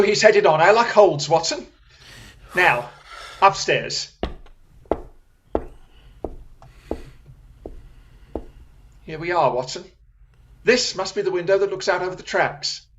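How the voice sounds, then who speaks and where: A keen, assured gentleman detective as acted out in an audio drama, speaking in formal, precise phrases. He takes command with theatrical confidence, at a medium pitch. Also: animated, a little slow, long pauses, dull audio, a little hiss or hum. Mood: tense, excited.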